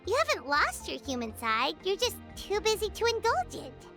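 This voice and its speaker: A woman's voice: very animated, moderate pace, pushed and bright, heavily acted. A woman voicing a tiny, chirpy, greedy floating girl companion in a game, who talks about herself in the third person. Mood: thoughtful. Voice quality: very high squeaky voice. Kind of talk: game voice acting. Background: game music.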